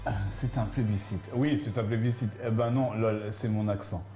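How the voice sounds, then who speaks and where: A man's voice: fairly low pitch, fairly even intonation, normal speed. A man hosting a live cooking stream, joking with the cook and reading viewer comments aloud in a playful, laughing way. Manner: playful, jokey, laughs often, talks to viewers. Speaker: livestream cooking show host. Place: home kitchen. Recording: roomy kitchen sound with background music.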